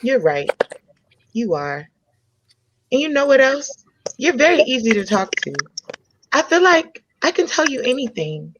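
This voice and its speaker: A woman's voice: high-pitched, big pitch swings, measured pace, long pauses, fairly bright tone, slight background noise, heavily acted. A woman playing a frank, independent young woman who speaks candidly and conversationally in a comedy table read. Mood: grateful. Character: frank, independent young American woman, restaurant owner's daughter. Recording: dull lo-fi microphone sound.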